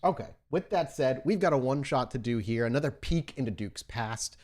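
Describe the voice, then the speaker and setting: Male podcast host, mid-pitched and highly expressive, loose and enthusiastic, chatting to listeners. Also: normal speed. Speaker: host and storyteller of a tabletop roleplaying podcast. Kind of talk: casual podcast intro chat.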